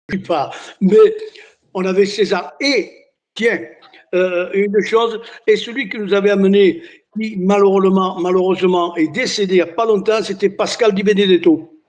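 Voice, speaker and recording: An older man born near Naples whose first language is Italian, a singer telling stories in a relaxed interview, with many restarts. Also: high-pitched, normal speed. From Italy. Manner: chatty storyteller, many restarts and "hein". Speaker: singer and entertainer, guest. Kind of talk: casual interview chat.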